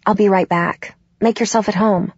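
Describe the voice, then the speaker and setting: A defiant, emotional young woman from an audiobook drama, acted out by a female narrator. Her voice is husky, recorded very dry and slightly muffled. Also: mid-range voice, steady tone, moderate pace, no echo. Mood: reassuring. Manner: emotional, indignant outbursts. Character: upset, defiant young woman standing up to parents.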